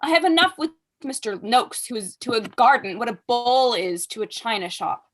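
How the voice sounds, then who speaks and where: Young woman playing a haughty high-voiced aristocratic lady. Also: lively intonation, moderate pace, fairly bright tone. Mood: dry, witty. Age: young adult. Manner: pompous, declamatory, arch. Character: haughty, witty aristocratic mother, imperious and exasperated. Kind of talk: staged reading of a period comedy.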